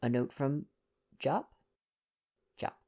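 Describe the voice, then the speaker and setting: A man's voice: medium pitch, expressive, very slow, dark tone, voice pushed up. Older Belgian detective played by a man, storybook character: vain, courteous, self-important, formal foreign turns of phrase. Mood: wry. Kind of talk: storybook dialogue reading.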